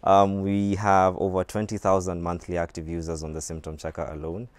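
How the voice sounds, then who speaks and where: Deep-voiced Kenyan man, measured explainer. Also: completely flat delivery, clear, resonant tone, no echo. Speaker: medical doctor, app company guest. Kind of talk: studio TV interview. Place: TV studio set.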